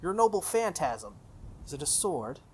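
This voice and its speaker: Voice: medium pitch, very animated, normal speed, a little mellow, a little hiss or hum, close to an everyday voice. A playthrough host voicing a taunting male lance-wielding warrior from a visual novel, challenging and goading. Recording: background game music.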